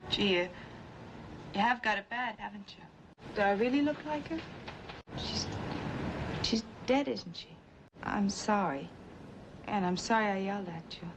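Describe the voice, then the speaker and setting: A woman's voice: medium pitch, unhurried, long pauses, noisy background, close to an everyday voice. An actress playing a guarded, wounded young American shopgirl in a 1950s Hollywood film, colloquial and emotional. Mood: softened, hesitant. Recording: dull old film soundtrack, music behind.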